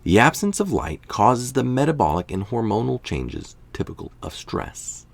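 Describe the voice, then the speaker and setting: A man's voice: mid-range voice, big pitch swings, normal speed, gravelly. A man reading a scientific health article aloud, now and then adding a casual remark of his own.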